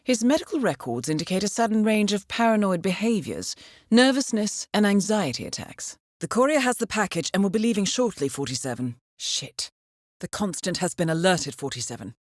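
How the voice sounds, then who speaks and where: An actress playing a cool, composed intelligence handler in a video game, briefing an assassin in a measured, dryly ironic way. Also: female voice, mid-range voice, big pitch swings, no room sound, clean studio sound. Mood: urgent. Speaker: mission handler.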